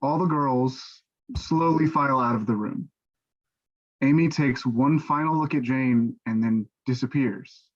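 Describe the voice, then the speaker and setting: A man's voice: mid-range voice, a little slow. A man reading stage directions as scripted narration for a play, on a dull microphone.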